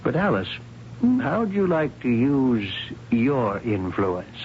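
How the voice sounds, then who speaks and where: A man's voice: medium pitch, sing-song, slow pace. An actor playing a genial, proud, middle-aged businessman in a radio drama, affable and assured. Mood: proud, reassuring. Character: genial, proud businessman boss, father of a graduate. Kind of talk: 1970s radio drama acting. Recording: muffled, slightly noisy 1970s radio broadcast.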